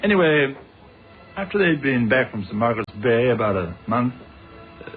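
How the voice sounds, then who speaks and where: Casting: patient, polite British detective inspector, vintage radio drama style, middle register, boxed-in lo-fi sound. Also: male voice, medium pitch, sing-song, soft background music. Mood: reflective, recounting. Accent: British English. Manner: measured, polite, dryly unflappable. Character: patient, courteous male British police inspector. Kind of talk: old-fashioned British radio drama acting. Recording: narrow, phone-like old radio recording.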